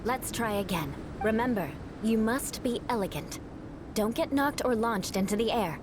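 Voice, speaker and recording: A woman's voice: fairly high pitch, lively intonation, normal speed, background noise, natural voice. A woman playing a poised, stern aristocrat. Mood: instructive. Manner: formal, poised, faintly condescending. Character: proud aristocratic young woman, composed, stern mentor. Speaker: trainer. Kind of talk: video game voice acting. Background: game music.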